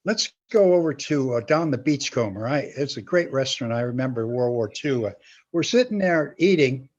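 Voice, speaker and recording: A man's voice: mid-range voice, animated, moderate pace. Older man reminiscing on dull lo-fi audio. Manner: rambling, acting out quotes. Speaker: storyteller, show-business veteran. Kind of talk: casual anecdote. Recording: dull, lo-fi sound.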